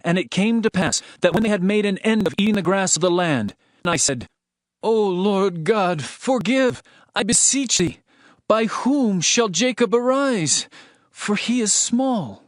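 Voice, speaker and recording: A man's voice: high voice, lively intonation, a little slow, no echo, clean studio sound. A man playing an earnest herdsman prophet, solemn and declamatory, in a dramatized scripture reading. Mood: pleading. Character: Amos, earnest male herdsman prophet proclaiming judgment. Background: music.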